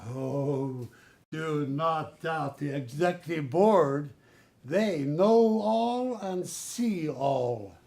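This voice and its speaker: A zealous corporate middle manager played by a man speaks in a high male voice. He is pompous and condescending, lecturing in grand phrases as in a satirical stage play. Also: expressive, drawn-out words, gravelly, darkish tone, exaggerated voice. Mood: stern, grandiose.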